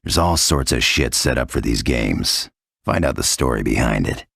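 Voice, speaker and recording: An actor playing a cynical, hard-bitten undercover tough guy in a video game, gravelly and husky, with a blunt, sardonic delivery. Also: rumbling low, lively intonation, normal speed, fairly bright tone, no room sound, studio quality, exaggerated voice. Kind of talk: video game cutscene acting.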